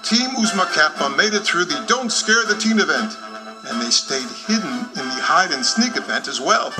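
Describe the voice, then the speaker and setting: A man reading scripted children's story narration with expressive, clearly phrased storytelling. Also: fairly high pitch, normal speed, low-quality recording. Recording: music behind the voice.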